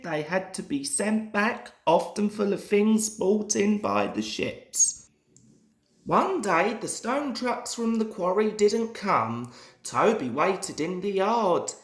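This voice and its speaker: A young man reading a children's story aloud as a storyteller, in scripted narration. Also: high voice. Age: young adult. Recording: echoey room.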